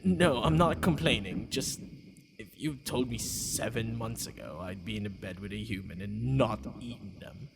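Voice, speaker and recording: A man playing a flustered, secretly tender vampire lord in an ASMR roleplay, with a gravelly voice and a hesitant, sighing delivery. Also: fairly low pitch, a little hiss or hum, full character voice. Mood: nervous, tender. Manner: hesitant, sighing, murmuring to himself. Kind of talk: ASMR boyfriend roleplay audio.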